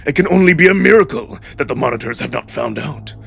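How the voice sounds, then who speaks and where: A man voicing a commanding, ruthless older male wolf official in a dramatic story, with a gravelly growl and an imperious way of giving orders. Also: medium pitch, lively intonation, fast talker, a little hiss or hum. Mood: cold, threatening. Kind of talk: dramatic audiobook-style character acting. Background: music.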